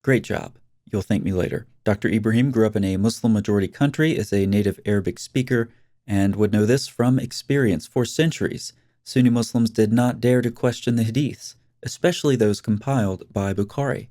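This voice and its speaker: A man's voice: lowish voice, a little fast, mellow, no room sound, studio quality. A man hosting a scripted commentary video, speaking in a sarcastic, mocking way.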